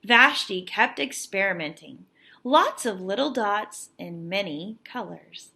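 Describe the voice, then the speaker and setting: A young adult woman, a teacher reading a picture book aloud to children with expressive, emphatic delivery and teaching asides. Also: mid-range voice, slow, gravelly.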